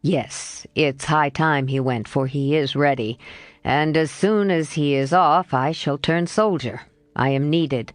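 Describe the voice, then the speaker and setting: A woman doing a gentle young male tutor. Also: deep voice, slow pace, no room sound, pitched below the performer's own voice.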